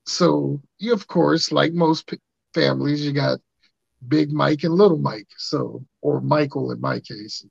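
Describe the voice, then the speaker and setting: A man in his thirties with a raspy voice, hosting a podcast and telling stories in casual chat, acting out quotes. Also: on the high side, measured pace, no room sound. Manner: animated, acts out quotes in funny voices. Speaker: podcast host. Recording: dry muffled lo-fi sound.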